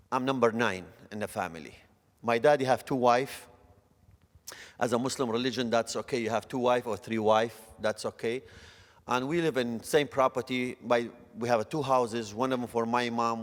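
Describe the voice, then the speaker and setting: A middle-aged man from Northern Iraq with a Kurdish accent and broken English, giving an earnest, halting church testimony. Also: medium pitch. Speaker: guest speaker. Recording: long church hall reverb.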